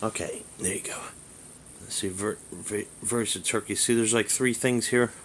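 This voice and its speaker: Low-voiced man thinking aloud, faint background noise. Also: fairly even intonation. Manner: halting, rambling, thinking aloud. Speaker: radio hobbyist vlogger. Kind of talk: casual hands-on app demonstration.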